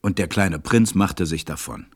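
Low-voiced man narrating a story, dry sound. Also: lively intonation, moderate pace, dry recording. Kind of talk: scripted storybook narration in a radio play.

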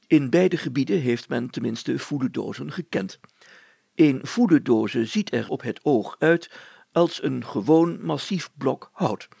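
A man's voice: fairly high pitch, creaky, a little mellow, slightly rough, dry recording, exaggerated voice. A man voicing a pompous, easily flustered older mayor in a children's story, stammering and over-articulating as he goes. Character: fussy, flustered, pompous older male mayor reading aloud. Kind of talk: children's audio story character voice.

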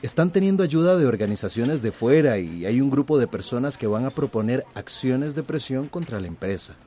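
A man's voice: medium pitch, animated, brisk, mellow, breathy edge. An actor playing a deferential, apologetic informant reporting to his boss in a radio drama. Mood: nervous, placating. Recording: faint background noise.

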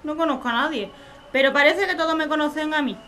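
A woman's voice: high voice, fast talker, sharp tone, slight background noise. A woman playing a bewildered young mother facing eviction in a radio drama, anxious and full of questions.

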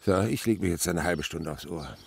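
A man's voice: low voice, moderate pace, gravelly, slightly rough, full character voice. An actor playing an eccentric, learned father and insect researcher in a German radio play, wordy, didactic and teasing. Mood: animated, teasing.

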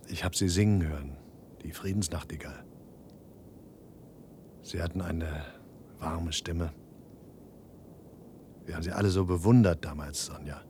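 Earnest, low-voiced troubled son. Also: male voice, darkish tone. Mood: wistful. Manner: earnest, imploring. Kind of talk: German radio play acting. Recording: rumbly, noisy archive radio recording.

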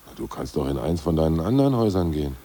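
A gruff ousted party boss. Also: male voice, very deep voice, very animated, racing, mellow, exaggerated voice. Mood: confident. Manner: blunt, coarse, self-assured bluster. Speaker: actor in radio play. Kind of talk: 1980s radio drama acting.

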